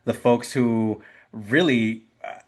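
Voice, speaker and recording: A man's voice: mid-range voice, steady tone, slow. A man hosting a casual interview, whose first language was Spanish, who talks with enthusiasm and affirms his guest with many fillers. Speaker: podcast host.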